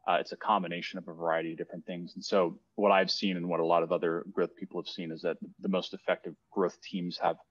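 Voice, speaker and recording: Deep-voiced dude co-hosting a webinar, dry muffled call sound, kinda halting. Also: male voice, dry recording. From Los Angeles. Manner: relaxed, halting with "uh" and repeated words. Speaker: webinar co-host. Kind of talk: explaining to a webinar audience. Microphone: video call. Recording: dull, dry online call audio.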